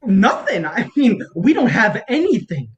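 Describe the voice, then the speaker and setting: A performer at a staged reading acts out an embittered film campaign strategist at the end of their rope. The delivery is highly animated, sweeping up and down in pitch. The manner is profane, sarcastic and exasperated. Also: a little slow, lo-fi sound. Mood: bitter, agitated.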